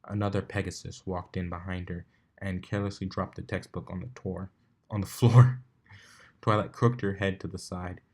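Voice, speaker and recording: A man's voice: low voice, little pitch movement, normal speed, a little mellow. A man reading fan fiction aloud as an amateur narrator, sometimes stumbling and rereading phrases.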